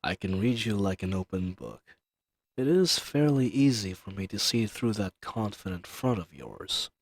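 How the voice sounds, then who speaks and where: A man's voice: on the low side, lively intonation, moderate pace, no echo. A man voicing an ancient dragon familiar in an intimate audio roleplay, speaking formally, possessive and seductive, condescending yet soothing. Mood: teasing, seductive. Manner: formal, archaic diction, soothing and teasing. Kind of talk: intimate scripted roleplay audio addressed to the listener.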